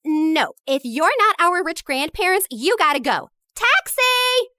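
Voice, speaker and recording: A very high, bright and forceful teenage girl acted by a woman from a story cartoon. She is blunt and demanding, with a short temper, and the sound is close and dry. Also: very animated, measured pace, no echo, full character voice. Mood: bossy. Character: sharp, blunt, hot-tempered teenage sister. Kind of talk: animated story dialogue.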